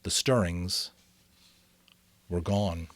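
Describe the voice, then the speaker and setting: A man giving a dramatic, expressive amateur audiobook reading with casual commentary. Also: low voice, very slow.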